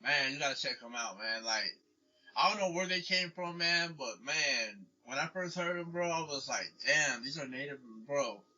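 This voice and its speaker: A man hosts a laid-back interview, full of slang and calling his guest "bro" and "dog". His voice sits a bit high and sounds very sharp and bright. The recording is somewhat dull and lo-fi. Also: expressive, normal speed. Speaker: podcast host. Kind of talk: casual interview chat.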